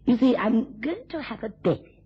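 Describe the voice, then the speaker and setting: An actress in a radio drama playing a drunken, taunting, spiteful wife with a theatrical, mocking drawl. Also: female voice, fairly high pitch, lively intonation, normal speed, slightly rough. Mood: scornful, vicious. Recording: dull, lo-fi old radio recording.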